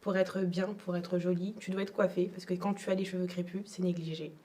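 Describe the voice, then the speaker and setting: A woman's voice: fairly low pitch, little pitch movement, a little fast, dark tone, far from the mic. A young adult woman from Togo, telling her personal story as an interview guest in a reflective, hesitant way. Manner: reflective, repeats words, frequent hesitations.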